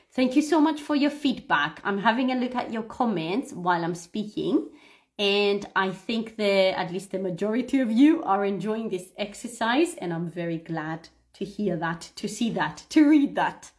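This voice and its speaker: A woman hosting a live English pronunciation lesson, explaining things to viewers in an instructive, expressive way with emphasis and frequent checks for understanding. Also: medium pitch, a little slow. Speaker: English pronunciation teacher, livestream host. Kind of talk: live lesson talking to viewers, demonstrating read-aloud.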